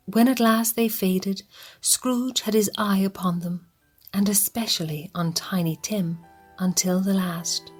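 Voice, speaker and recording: Slow woman narrating. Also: fairly low pitch, breathy edge. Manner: slow, even, sometimes hushed. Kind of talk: scripted storytelling narration.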